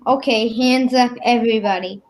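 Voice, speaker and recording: A woman playing a suspicious masked stranger in an old-time radio comedy, insistent and gruff. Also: a little high, steady tone, normal speed, darkish tone, lightly acted. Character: suspicious costumed man in a mask. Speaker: radio play performer.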